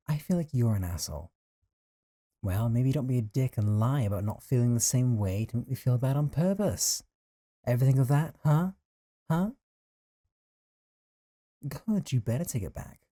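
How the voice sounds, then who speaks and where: A man's voice: mid-range voice, expressive, moderate pace, pauses often, dark tone, no echo, clean studio sound, natural voice. A man acting a possessive, lovesick young man in an intimate audio roleplay, talking in a casual, teasing, conversational way with sighs. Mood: fond, teasing. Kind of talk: intimate audio roleplay drama, one side of a call.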